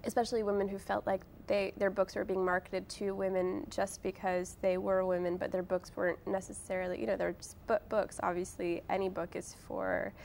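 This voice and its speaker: A woman's voice: mid-range voice, level tone, a little fast, gravelly, few pauses, a little mellow, a little hiss or hum. A young woman speaking as an interview guest, casually and informally, often hedging with filler words like "like" and "sort of". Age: young adult. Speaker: interview guest, author and blogger.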